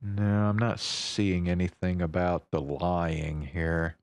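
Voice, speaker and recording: A man's voice: deep voice, level tone, slow, clean tone, no echo. A man talking casually and unscripted as a livestream host, thinking aloud hesitantly and swearing now and then.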